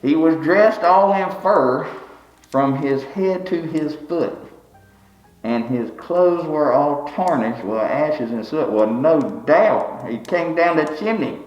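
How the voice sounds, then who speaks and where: A man's voice: on the high side, animated, slow pace, faint music behind. A man playing a folksy, bald country fellow who reads a holiday poem aloud, tripping over words and cracking jokes between verses. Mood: joking. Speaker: storyteller reading aloud. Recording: roomy, slightly dull recording.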